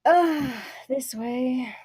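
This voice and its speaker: A woman plays a female fantasy adventurer, brisk and wry in manner. Her voice sits fairly high and is recorded very dry, in the plain style of a table read. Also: dark tone, slightly rough, no echo, exaggerated voice. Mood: firm.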